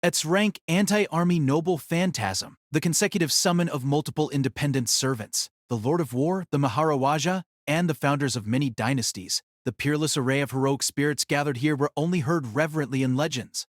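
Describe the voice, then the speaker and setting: A man's voice: fairly high pitch, a little fast, hardly any pauses, no echo, studio quality. A man narrating a fantasy story in a dramatic, theatrical, scripted style.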